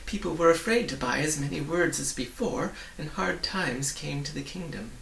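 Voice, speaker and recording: Man voicing a wistful old woman, creaky voice. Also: a little high, normal speed, fairly bright tone. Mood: regretful. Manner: wistful, formal storytelling. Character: sad, gentle old woman, a disgraced former royal official. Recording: roomy, distant mic, hissy.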